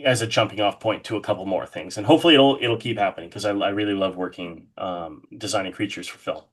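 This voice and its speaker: A man with a fairly low voice talks as an interview guest. His delivery is informal and conversational, with an enthusiastic way of explaining things. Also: fast talker. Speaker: interview guest, horror artist.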